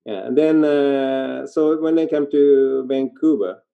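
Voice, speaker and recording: An older man from Japan with a Japanese accent chats casually in halting, informal non-native English, telling stories as an interview guest. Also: medium pitch, gravelly, mellow. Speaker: interview guest, performer in dance theater. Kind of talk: casual conversational storytelling. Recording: dull, lo-fi sound.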